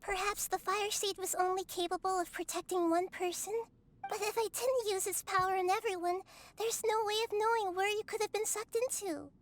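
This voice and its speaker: A woman voicing a calm, wise young girl goddess in a video game, speaking softly and earnestly. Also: very high-pitched. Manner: soft, measured, earnest.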